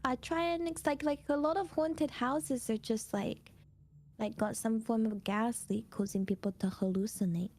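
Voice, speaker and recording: A woman's voice: a little high, moderate pace, darkish tone. A woman chatting casually as a guest on a stream, playful and teasing, musing as she goes. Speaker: guest on a friend's livestream. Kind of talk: casual chat.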